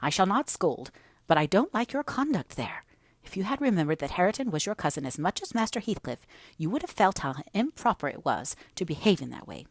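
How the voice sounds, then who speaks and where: A woman's voice: lowish voice, very animated, brisk, no room sound. A woman voicing a stern but caring female servant, earnest and reproving, in a novel reading. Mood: earnest reproach.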